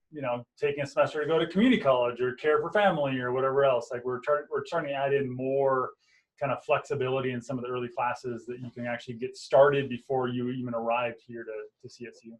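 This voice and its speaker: A man from Montana, an engineering professor, answering questions in a relaxed, hesitant way on a video call. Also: mid-range voice. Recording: dull lo-fi video call audio.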